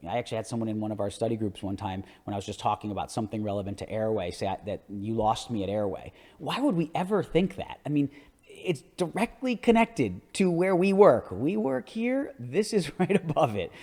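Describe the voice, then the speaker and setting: A man's voice: medium pitch, mellow. A man giving an educational podcast lecture, explaining images in a conversational way with emphatic asides. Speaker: orthodontist hosting an educational podcast. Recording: long hall-like reverb.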